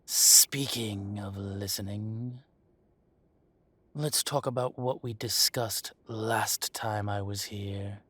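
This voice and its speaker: Slow, low-voiced menacing mafia dragon boss, acted by a man. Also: fairly even intonation, a bit bright. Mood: coolly menacing. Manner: slow, deliberate, mock-polite, threatening. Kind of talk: scripted roleplay audio drama monologue.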